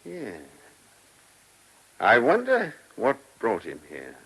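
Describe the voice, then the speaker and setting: A man's voice: mid-range voice, wildly swooping pitch, takes it slowly, creaky, a bit bright, husky, full character voice. An actor playing an irritable, shrewd male chief inspector in a radio play, brusque and commanding, given to muttered asides. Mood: brisk, curious.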